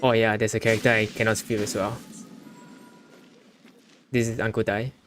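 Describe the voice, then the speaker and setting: A man giving casual livestream commentary on a game, offhand, muttering and halting. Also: fairly low pitch, flat delivery, a bit bright, soft background music. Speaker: game streamer.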